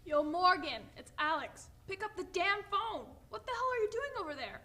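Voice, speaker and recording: A woman's voice: extremely high pitch, big pitch swings, normal speed, bright, forceful tone, full character voice. A woman playing a brash, impatient, foul-mouthed kidnapper leaving a phone message in a staged play reading. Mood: impatient, irritated.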